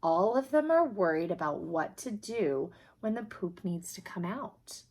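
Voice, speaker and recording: A kindly storybook doctor, voiced by a woman reading aloud, patient and encouraging. The delivery is unhurried and animated, with a slightly husky tone. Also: lowish voice. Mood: reassuring. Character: kindly, reassuring children's doctor. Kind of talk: children's picture book read aloud.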